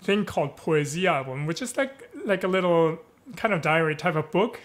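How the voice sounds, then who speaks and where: A man's voice: on the high side, lively intonation, moderate pace. Easygoing German-born man on a video call. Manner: easygoing, chuckles often, many fillers and tag questions. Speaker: guest. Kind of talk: casual interview chat. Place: home room, video call. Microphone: headset.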